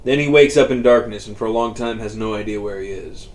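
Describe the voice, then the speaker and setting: A man's voice: on the low side, fast talker, a little mellow. A man reading a scripted story aloud in an informal, profane storytelling manner. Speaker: author reading own story. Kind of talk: scripted narration, audiobook-style fiction reading.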